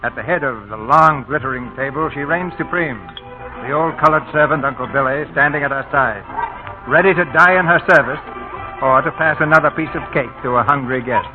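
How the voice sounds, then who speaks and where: A man's voice: mid-range voice, fairly quick. A man hosting a radio theatre, reading formal scripted narration in 1940s broadcast style. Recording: tinny old radio broadcast, music.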